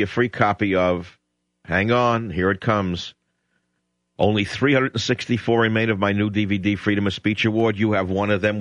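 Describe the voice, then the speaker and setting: A man's voice: fairly low pitch, a bit bright, dry recording. Sardonic, rambling radio talk-show man. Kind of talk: opinionated radio monologue and call-in chat. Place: radio studio. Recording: dull lo-fi radio broadcast.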